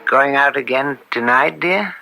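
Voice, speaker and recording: An actor playing an upstanding, troubled judge and father in an American radio drama, speaking formally and deliberately with an American accent. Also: medium pitch, gravelly, sharp tone, soft background music, full character voice, poor audio. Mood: concerned, firm. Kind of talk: old-time American radio drama acting.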